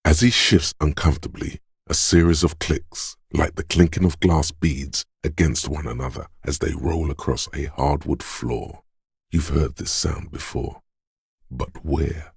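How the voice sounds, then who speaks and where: A very deep, husky male inner voice murmurs slowly in a game. Each detail is laid out in a hushed, unhurried way, close to the ear. The studio sound is dry, with no room around it. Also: fairly even intonation, dry recording, exaggerated voice. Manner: slow, hushed, deliberate. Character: inner perception voice, male, watchful and intimate. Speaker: voice actor. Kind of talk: game voice-over lines.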